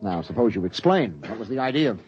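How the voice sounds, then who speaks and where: An actor playing a level-headed, paternal space expedition captain, firm and placating, in 1950s radio drama style. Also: male voice, on the low side, big pitch swings, a little fast, breathy edge, heavily acted. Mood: reasoning, stern. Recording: dull, lo-fi 1950s radio recording.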